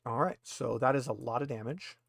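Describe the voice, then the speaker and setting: A man's voice: mid-range voice, fairly quick, creaky, slightly rough, dry recording. A man runs a tabletop roleplaying game as game master. He talks casually and explains the rules as he goes. The sound is dry, with no room around the voice.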